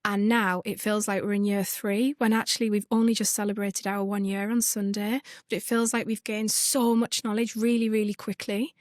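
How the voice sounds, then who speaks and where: A woman with a Yorkshire accent and a husky, worn voice, chatting as a podcast guest and laughing often. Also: mid-range voice, level tone, moderate pace, hardly any pauses, no room sound, clean studio sound. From Northern England. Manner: laughs often, self-deprecating. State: husky from overuse. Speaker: guest, events business founder. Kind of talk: casual podcast conversation.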